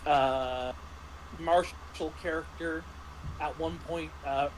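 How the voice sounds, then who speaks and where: A man casually recounting tabletop game sessions as game master, speaking haltingly and often clearing his throat. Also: a little high, fairly even intonation. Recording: clearly noisy background.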